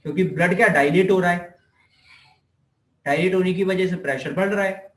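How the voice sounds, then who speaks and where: A man's voice: sits high, normal speed, a bit bright. A man with an Indian accent giving an informal explanatory lecture, conversational, repeating points and asking rhetorical questions, mixing in English technical terms. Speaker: physiotherapy lecturer. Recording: echoey, reverberant room.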